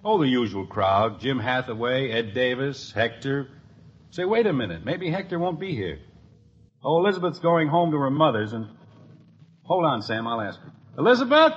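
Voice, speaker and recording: An actor playing a wisecracking, easily exasperated father in a 1950s radio family sitcom, with a wry, animated delivery. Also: medium pitch, normal speed, slightly rough. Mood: chatty. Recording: noisy, muffled old radio broadcast.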